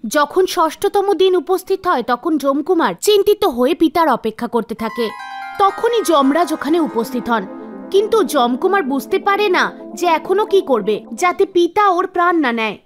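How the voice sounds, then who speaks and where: A woman with a strikingly high voice narrates a scripted cartoon folk tale. She works as a storyteller, and soft music plays underneath her. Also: expressive, normal speed. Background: background music.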